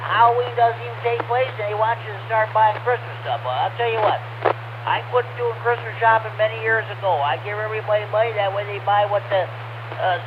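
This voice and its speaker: A man in his sixties running a ham radio net as net control, chatting in a rambling, filler-heavy way. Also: sits high. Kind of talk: casual chat over ham radio. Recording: lo-fi radio transmission with clear hiss.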